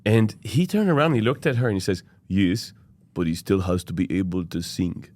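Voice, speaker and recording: A man, an opera singer and podcast guest, chatting casually and telling lively stories, often laughing and mimicking other people. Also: sits low, a little fast. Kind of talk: casual chat.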